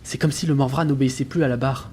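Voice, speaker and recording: An actor playing a troubled, earnest sailor who reads out his logbook in a grave, measured way for an audio drama. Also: mid-range voice, steady tone, darkish tone, slight background noise, close to an everyday voice. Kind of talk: dramatic diary narration in French audio fiction.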